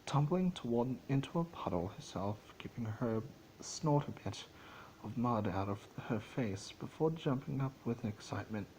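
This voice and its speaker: A man giving an amateur dramatic reading of a fantasy story, theatrical but halting, stumbling over words. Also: mid-range voice, animated, darkish tone, slight background noise, low-quality recording. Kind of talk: dramatic storybook narration of fan fiction.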